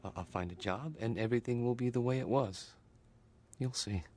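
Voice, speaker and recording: An actor playing a mild, polite grown son who is eager to please, speaking gently and earnestly in a radio drama. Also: male voice, mid-range voice, fairly quick, a little hiss or hum, natural voice. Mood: subdued, reassuring. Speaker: actor playing a discharged patient.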